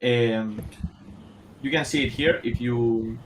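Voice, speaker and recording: A man from Spain speaking English. He hosts an online community Q&A over a video call, pausing often on drawn-out uhs as he lines up his questions. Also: medium pitch, steady tone, background noise. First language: Spanish. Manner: hesitant, frequent filler uhs. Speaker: community host. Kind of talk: hosting an online Q&A.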